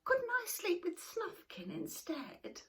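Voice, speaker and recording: Woman voicing a high-pitched, earnest young moomin troll. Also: very animated, slow, gravelly, distant mic, heavily acted. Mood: dismayed, pleading. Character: young male moomin troll, innocent, earnest, easily troubled. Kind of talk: children's storybook character voice.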